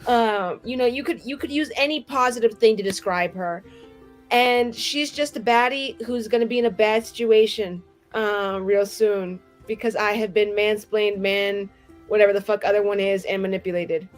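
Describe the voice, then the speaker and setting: A woman's voice: mid-range voice, moderate pace, faint music behind. A woman chatting casually as a player on a tabletop roleplaying stream, playfully boastful with theatrical emphasis. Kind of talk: casual chat.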